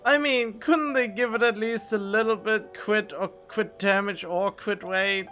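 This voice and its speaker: Male streamer, slow chatty commentary, thinks aloud, desk mic, faint game music. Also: high voice, fairly even intonation, pushed and bright. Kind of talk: casual let's-play commentary. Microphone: desktop USB mic.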